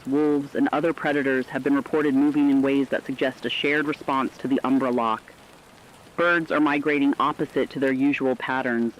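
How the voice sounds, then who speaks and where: A man performs an earnest male co-anchor in a radio drama. His mid-range voice is serious and deliberate as he delivers scripted urgent warnings. The sound is a little dull, with faint noise underneath. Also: mid-range voice, natural voice. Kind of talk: scripted dramatic news narration.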